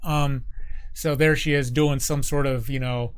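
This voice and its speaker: A man's voice: mid-range voice, steady tone, a little slow, clear, resonant tone, dry recording. A man, talking informally and ramblingly in a casual interview as a creative staff guest.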